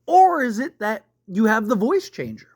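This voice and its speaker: Higher-voiced man giving casual rambling commentary. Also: big pitch swings, moderate pace, no echo, clean studio sound. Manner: rambling, often tags sentences with "right?". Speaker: YouTube host commenting on movie news. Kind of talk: casual unscripted film speculation commentary.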